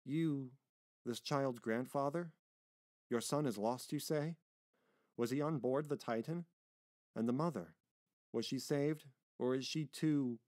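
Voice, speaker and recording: A man's voice: lowish voice, normal speed, a little mellow, no echo, studio quality, lightly acted. Man playing a weary, earnest sailor. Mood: eager, anxious. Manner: polite, earnest.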